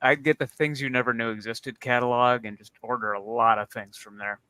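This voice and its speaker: A man's voice: medium pitch, fairly even intonation, fairly quick, sharp tone, clear, resonant tone, no echo. A man in casual, joking banter as a regular on a comedy chat show.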